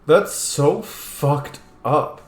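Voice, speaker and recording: A man's voice: on the high side, very slow, reverberant. A man giving casual, informal playthrough commentary while role-playing a video game, reacting and swearing freely.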